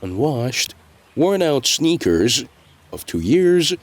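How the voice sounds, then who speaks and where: A man reading scripted dramatic narration in a measured storytelling delivery, with deliberate pauses. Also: medium pitch, sing-song, dry recording.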